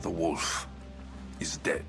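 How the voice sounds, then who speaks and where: An actor playing a brooding, hot-tempered young mercenary warrior in a video game. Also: male voice, takes it slowly, lightly acted. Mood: cold, threatening. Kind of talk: video game cutscene acting. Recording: background music under the voice.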